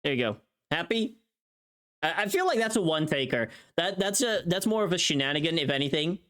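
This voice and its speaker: This is a livestreamer in casual, rambling chat, thinking aloud through small tasks. The voice sits at a middle pitch but moves around freely, with animated rises and drops. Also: a little fast. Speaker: streamer recording a voice pack.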